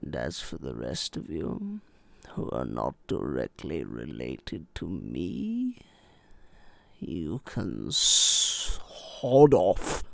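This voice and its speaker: Male, acted bitter toastmaster for comic monologue: unhurried, scornful, big melodic swings on the stressed words. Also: very deep voice, wildly swooping pitch, creaky, dry recording, full character voice. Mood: muttered contempt. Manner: deliberate, scornful, with drawn-out emphatic words. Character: bitter, caustic man giving a scathing wedding toast.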